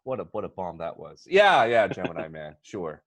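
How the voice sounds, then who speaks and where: A man hosting a casual podcast discussion, talking in an animated, teasing, bantering way. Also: sits low, moderate pace, crowd noise. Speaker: podcast host.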